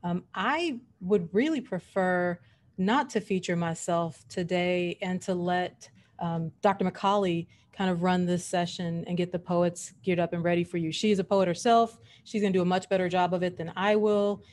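A woman's voice: on the low side, steady tone, moderate pace, darkish tone, a little hiss or hum. A middle-aged woman giving an informal introduction on a video call as an event organizer.

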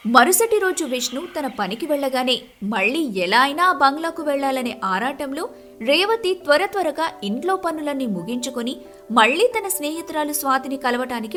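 A woman's voice: sits high, pushed and bright. A woman narrator reading a scripted moral tale in storytelling style.

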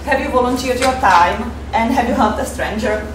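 A woman in her thirties with a Czech accent gives a fundraising presentation, halting with many fillers, recorded from afar in a seminar room. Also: on the high side, distant mic, noisy background. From Czech Republic. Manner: hesitant, many fillers, halting non-native English. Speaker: fundraiser giving a conference talk. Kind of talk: presentation talk. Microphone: far camera mic. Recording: echoey room, picked up from afar.

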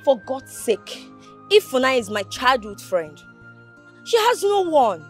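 A woman's voice: high voice, very animated, slow, soft background music, exaggerated voice. An actress with a Nigerian accent playing a protective, caring young woman, earnest and pleading in a film drama. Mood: indignant.

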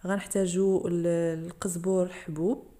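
Woman, Moroccan Arabic accent, cooking tutorial voice-over, lowish voice, mellow. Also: little pitch movement, moderate pace. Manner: explains each step plainly, addressing viewers directly. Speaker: cooking channel host.

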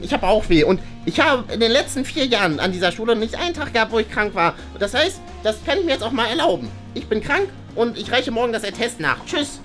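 A woman's voice: fairly high pitch, very animated, fast talker, hardly any pauses, a bit bright. Lady doing a nerdy schoolboy for a kids story, stammery and pedantic, voice jumps up and down, bit of music. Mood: upset, defiant. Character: nerdy, awkward schoolboy, a rule-abiding loner. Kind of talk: children's play-acted school story.

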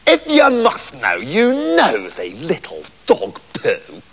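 A man's voice: mid-range voice, wildly swooping pitch, slow pace, a bit bright, husky, pitched below the performer's own voice, heavily acted. A man voicing a rude, cocky elder farm son in a comic fairy tale, sneering and boastful.

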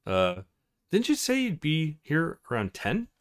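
A man's voice: fairly high pitch, huge pitch swings, measured pace, pitched above the performer's own voice. A man voicing an easygoing young man from a visual novel game, dryly teasing. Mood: sleepy, dry.

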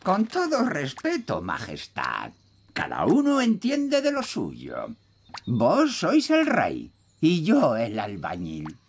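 A man's voice: a little high, huge pitch swings, slow, slightly rough, full character voice. A man voicing a wise, condescending old elf builder with a Castilian Spanish accent in a cartoon. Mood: smug, sententious. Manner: sententious, condescending. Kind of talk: animated cartoon dub.

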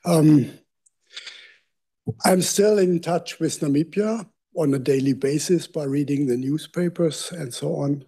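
A man's voice: a little high, measured pace, dark tone, breathy edge. An older man with a German accent, giving a public lecture from a lectern microphone in a university lecture hall, speaking conversationally with self-deprecating asides. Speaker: guest lecturer.

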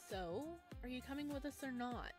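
Woman putting on an impatient, blunt schoolgirl, pitched up. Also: moderate pace, over music, lightly acted. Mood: impatient, mocking. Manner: pushy, needling.

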